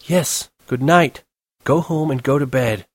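A man's voice: mid-range voice, measured pace, no echo, studio quality, voice pushed up, natural voice. A man voicing a sleepy, impatient young woman who scolds curtly. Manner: curt, scolding.

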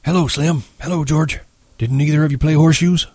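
A man's voice: fairly high pitch, fairly even intonation, brisk, a little mellow, no room sound, pitched above the performer's own voice, lightly acted. A man voicing an old ailing ranch swamper with folksy, complaining speech in a story. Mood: friendly.

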